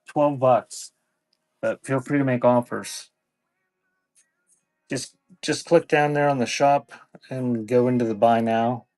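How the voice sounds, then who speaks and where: A man running a casual live-stream sale, talking in an offhand, halting way and thanking viewers by name. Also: mid-range voice, fairly even intonation, a little mellow, dry recording, faint music behind. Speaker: live online seller.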